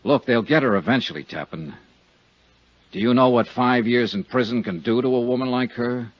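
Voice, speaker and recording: A man's voice: medium pitch, normal speed, close to an everyday voice. An American actor playing a tough, world-weary but humane male insurance investigator in a 1950s radio detective drama, terse and pointed. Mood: earnest, hard. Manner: terse, hard-boiled, pointed. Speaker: insurance investigator hero and narrator. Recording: dull, lo-fi 1950s radio recording.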